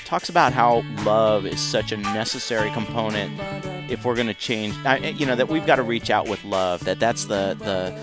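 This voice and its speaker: A man's voice: fairly low pitch, normal speed, over loud music. A man hosting a talk radio show, speaking enthusiastically and rambling, with many restarts. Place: radio studio.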